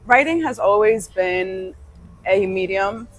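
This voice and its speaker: A young woman from New York whose first language is Spanish, telling her story as a podcast guest in a relaxed way and laughing easily. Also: mid-range voice, slow, a little hiss or hum, low-quality recording. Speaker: guest musician. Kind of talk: casual interview talk.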